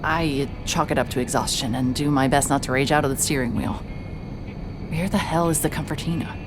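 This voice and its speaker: An actress playing a hard-hearted, sleep-deprived woman private investigator in a horror audio drama, speaking in a weary, halting way. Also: low-pitched, fairly even intonation, noisy background, close to an everyday voice. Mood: uneasy, weary. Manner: weary, halting, stammering under stress. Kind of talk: noir-like first-person audio drama narration. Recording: music behind the voice.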